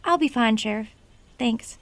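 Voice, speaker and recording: An actress playing a timid, folksy young waitress, speaking in nervous, hesitant rural turns of phrase. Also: female voice, on the high side, a little slow, close to an everyday voice. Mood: shaken. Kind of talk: radio drama acting.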